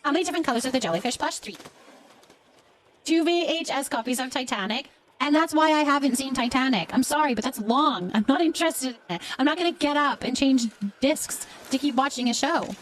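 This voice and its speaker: Chatty higher-voiced woman live streamer. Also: lively intonation, a little fast, slight background noise. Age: middle-aged. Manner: chatty, informal, effusive thanks to viewers. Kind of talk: casual live stream chat, reading and answering viewer comments.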